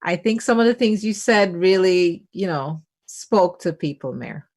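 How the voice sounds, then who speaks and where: A woman's voice: fairly low pitch, expressive, unhurried, darkish tone. A woman moderating a webinar conversationally, reading out statements and responses for the guests.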